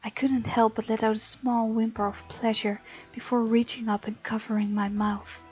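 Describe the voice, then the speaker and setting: A woman voicing a bold, tender young heroine who narrates a visual novel romance in a breathy, often whispery voice. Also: a little high, flat delivery, normal speed, darkish tone. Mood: intimate, hushed. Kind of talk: hushed dramatic reading of a visual novel. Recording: muffled lo-fi mic, music underneath.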